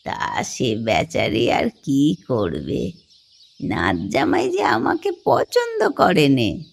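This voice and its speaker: Frail old widow, rural Bengali accent, audio drama, crawling pace, low female pitch, plaintive, affectionate. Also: huge pitch swings, gravelly, slightly rough, exaggerated voice. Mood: plaintive, weary. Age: older. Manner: slow, plaintive, drawn-out speech.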